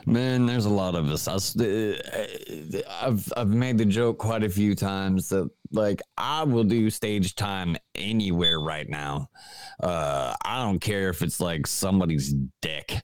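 A comedian from West Virginia chatting loosely over a remote call, joking and swearing freely. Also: male voice, sits low, unhurried, clean tone, dry recording, studio quality. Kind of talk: casual podcast chat.

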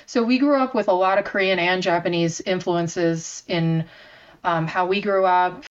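A woman's voice: fairly low pitch, moderate pace, clear, resonant tone. A woman chef and baking instructor, telling stories as an interview guest in a chatty, enthusiastic way full of filler words. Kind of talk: casual conversational storytelling. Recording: dull lo-fi sound.

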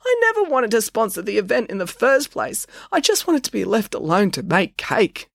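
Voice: medium pitch, very animated, moderate pace, gravelly, no room sound, studio quality, voice pushed up. A narrator voicing a miserable, self-pitying man in a storybook, plaintive and blubbery. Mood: blubbering.

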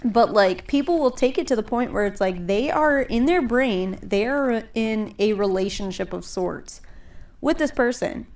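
A woman's voice: fairly high pitch, expressive, measured pace, a little mellow. A woman hosting a podcast in casual chat, sounding congested and rambling with lots of fillers. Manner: chatty, rambling, full of "um" and "like". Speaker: podcast host.

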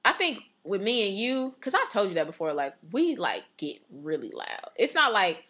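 A woman co-hosts a casual podcast, talking loud and passionate with a bright, sharp tone. Her pitch swoops around a lot as she laughs and jumps back in. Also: big pitch swings, normal speed. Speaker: podcast co-host.

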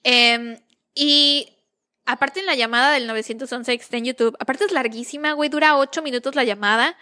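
A young Mexican woman recounting a true-crime case in a relaxed, slangy podcast chat. Her voice sits a little high and sounds crisp and bright. She laughs easily between sentences. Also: brisk. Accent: Mexican Spanish. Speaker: podcast co-host. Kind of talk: casual true-crime storytelling.

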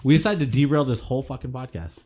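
A man's voice: medium pitch, quick pace, a little mellow. A man in his twenties co-hosting a podcast in casual chat, joking and laughing often, with loose swearing. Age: 20s. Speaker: podcast co-host.

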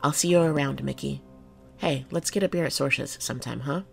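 A woman's voice: extremely low pitch, fairly quick, gravelly, soft background music, full character voice. A woman playing a jaded but kind-hearted city cop in an audio drama, plain-spoken and reassuring. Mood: friendly, familiar.

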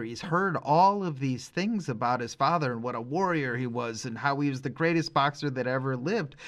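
A man's voice: medium pitch, fairly bright tone. A man co-hosting a podcast, discussing film casually, making his points emphatically and explaining as he goes. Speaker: podcast co-host.

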